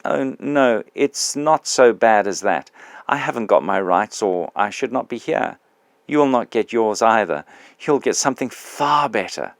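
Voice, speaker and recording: A man reading an audiobook, voicing a radiant male spirit who speaks softly, calmly and reassuringly. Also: fairly low pitch, unhurried, dry recording. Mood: serene. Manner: soft, calm, patient and reassuring. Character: serene radiant male spirit, gentle and joyful.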